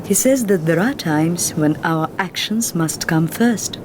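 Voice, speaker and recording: An actress with a Russian accent playing a guarded, earnest young Russian woman in a film drama who speaks in a formal, measured and reserved way. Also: fairly low pitch, expressive, noisy background.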